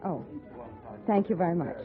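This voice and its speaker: A woman's voice: on the low side, expressive, normal speed, lightly acted. Woman as a snooty proper lady, cool and formal, old radio western, tinny with music behind. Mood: icy, polite. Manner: cool, formal, clipped refusals. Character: haughty, proper young lady of the Old West. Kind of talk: 1950s radio drama acting.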